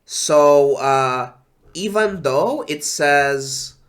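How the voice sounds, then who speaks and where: Sick stuffy guy streaming, slow and sighing, kinda high voice, trails off a lot. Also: lively intonation. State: congested with flu. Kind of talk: casual game patch notes reading.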